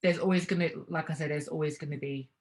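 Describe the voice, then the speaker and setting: A young woman with a somewhat low voice, speaking in a relaxed, conversational way. She thinks out loud, restarts her sentences and laughs lightly between thoughts. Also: fast. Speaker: interview guest. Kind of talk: casual conversational interview.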